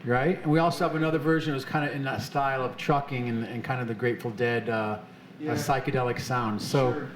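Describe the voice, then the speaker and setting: A man's voice: medium pitch, fairly even intonation, fast talker, crowd noise. A man hosting a live show on stage, chatty and upbeat, with many fillers. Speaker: host. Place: off-center stage of an arts center, live audience. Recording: echoey room with faint noise.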